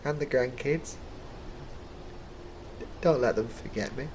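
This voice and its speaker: A man's voice: medium pitch, unhurried, darkish tone, slight background noise, natural voice. A man voicing a kindly, colloquial older supermarket worker with grandchildren in a comic audio roleplay. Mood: resigned, plaintive.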